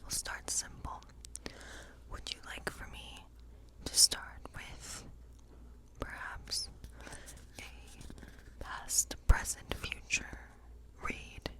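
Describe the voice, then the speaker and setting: Woman doing soft asmr witch roleplay, all breathy whisper, whimsical and musing, takes her time. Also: drawn-out words. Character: friendly whimsical woodland witch reading tarot. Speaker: ASMR performer playing a witch tarot reader. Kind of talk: whispered ASMR role play.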